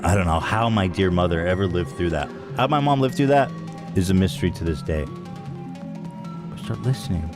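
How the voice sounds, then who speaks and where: A man hosting a podcast, telling a comic story in a dramatic way, dropping now and then into a hushed whisper. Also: deep voice, moderate pace, loud music behind the voice. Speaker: podcast host.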